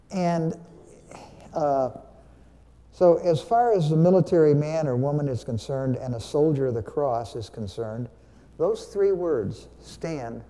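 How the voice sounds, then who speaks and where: A man's voice: medium pitch, mellow. An older man giving a conversational, teacherly church talk, asking his congregation questions as he goes. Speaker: church speaker, veteran. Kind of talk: Veterans Day sermon talk with questions to the congregation. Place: large church sanctuary, standing before pulpit. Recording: long hall reverb.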